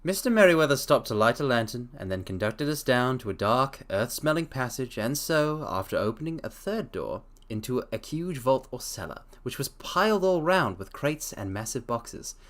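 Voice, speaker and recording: A man's voice: mid-range voice, very animated, normal speed, hardly any pauses, fairly bright tone. A man streaming a dramatic reading, often stopping to correct himself and adding chatty asides.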